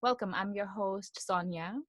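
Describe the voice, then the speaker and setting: A woman's voice: medium pitch, moderate pace, fairly bright tone, no echo, studio quality. A young woman hosting a casual podcast, chatty and giggly, full of "like" and "you know". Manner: giggly, filler-heavy, loose and chatty. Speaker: podcast host. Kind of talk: casual chat. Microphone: video call.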